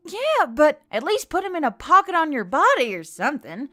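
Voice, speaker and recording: A woman's voice: high voice, wildly swooping pitch, moderate pace, bright, forceful tone, voice pushed up, exaggerated voice. Female reader as brash armed heroine, fantasy audiobook; casual, wry, blunt delivery over music. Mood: exasperated. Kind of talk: audiobook character dialogue. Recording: music under the voice.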